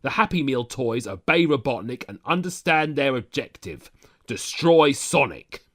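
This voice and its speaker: A man's voice: mid-range voice, big pitch swings, unhurried. A man reading scripted narration, retelling a cartoon adventure episode as a storyteller. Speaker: narrator of an animated-series recap.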